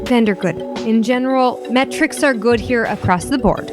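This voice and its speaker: Woman acting a high-voiced, condescending corporate coordinator. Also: moderate pace, close to an everyday voice. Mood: dismissive. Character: smooth-talking, condescending female corporate regional coordinator. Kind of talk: dramedy audio acting. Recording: music behind the voice.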